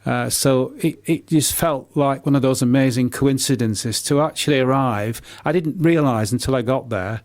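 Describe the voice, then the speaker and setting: Middle-aged male radio guest, writer, mid-pitched, hesitant and repetitive in unscripted answers, recorded dry. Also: no echo. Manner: hesitant, halting, often repeating words. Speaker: author and poet being interviewed.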